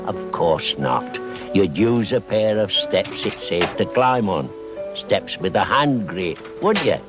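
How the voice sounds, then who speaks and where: Deep, lively male safety-film narrator. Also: takes it slowly, over music. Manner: instructive, coaxing with tag questions. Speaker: voice-over narrator. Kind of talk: scripted public information film narration.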